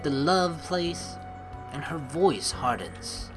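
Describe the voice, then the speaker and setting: A woman hosting a let's play, reading game narration and dialogue aloud with playful, expressive commentary. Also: low-pitched, takes it slowly. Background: game music.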